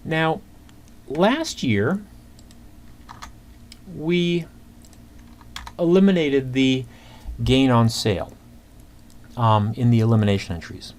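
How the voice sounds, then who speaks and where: Over clear background noise and keyboard clicks, a man with a medium-pitched voice teaches accounting in an informal screencast. He works through figures step by step, conversationally and haltingly. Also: darkish tone. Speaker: accounting instructor. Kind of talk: informal screencast lesson, explaining worked calculations. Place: indoors at a computer.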